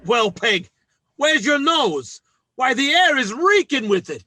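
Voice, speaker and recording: Flustered blustery family dad in radio comedy, high lively voice, very dry close sound. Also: male voice, slow pace, no room sound, full character voice. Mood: flustered, hearty. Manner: flustered, hesitant, bumbling. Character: blustering, eager family man, a sitcom dad. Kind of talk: old-time radio comedy acting.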